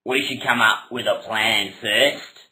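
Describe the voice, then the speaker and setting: A man's voice: lowish voice, fairly even intonation, slow, pushed and bright, voice pushed down, exaggerated voice, low-quality recording. A man voicing Frederick's part, a crude, mock-earnest clownish robber in a comic puppet show. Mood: eager.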